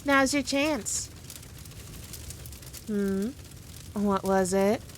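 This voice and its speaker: A woman's voice: medium pitch, drawn-out words, slight background noise. A woman playing a powerful demon queen in a scripted roleplay, talking down to the listener in a teasing, dominant, condescending way. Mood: mocking. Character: haughty, playful, all-powerful female demon queen.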